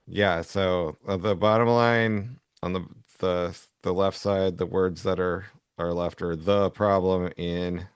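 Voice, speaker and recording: A man co-hosting a casual chat, speaking haltingly as he mulls things over. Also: sits low, fairly even intonation, clear, resonant tone, dry recording, clean studio sound.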